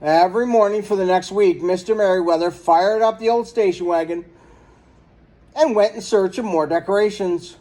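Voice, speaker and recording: High-voiced man reading a children's story. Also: normal speed, darkish tone, poor audio. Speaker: storyteller reading a picture book. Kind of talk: children's story read-aloud.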